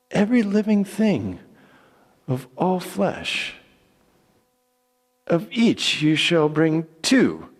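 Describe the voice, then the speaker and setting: Man playing God, mighty and commanding, very slow delivery, grand declamation, long hall reverb, scripture drama. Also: on the high side, slightly rough, exaggerated voice. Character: God, mighty male deity commanding Noah with solemn authority. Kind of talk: dramatic Bible reading.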